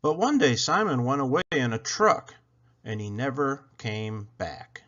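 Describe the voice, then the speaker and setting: Animated man reading a children's story. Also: medium pitch. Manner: expressive, deliberate storytelling delivery. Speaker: read-aloud storyteller. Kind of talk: children's picture book narration. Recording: dull lo-fi recording.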